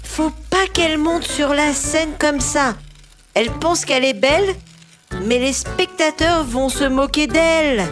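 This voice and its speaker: A woman's voice: sits high, a little slow, music in the background. A woman voicing a kind, caring young boy train in a children's cartoon, earnest and friendly. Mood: concerned. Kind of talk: children's cartoon dub acting.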